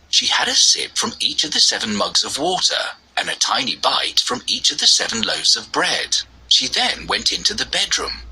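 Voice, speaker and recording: Raspy, very animated male storyteller. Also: on the high side, low-quality recording. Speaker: narrator. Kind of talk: scripted children's story narration.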